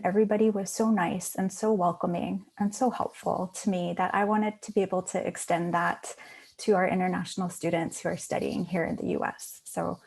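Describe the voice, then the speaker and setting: A woman's voice: on the low side, gravelly, darkish tone, poor audio. A woman from Hawaii who manages international programs, hosting an online student panel over a video call, friendly and full of "um" fillers.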